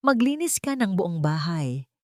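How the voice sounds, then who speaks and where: A woman's voice: lowish voice, very animated, measured pace, no room sound, clean studio sound. A woman with a Filipino accent voicing a cold, commanding, scheming daughter-in-law in an audio story. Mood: venomous, commanding. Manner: icy, commanding.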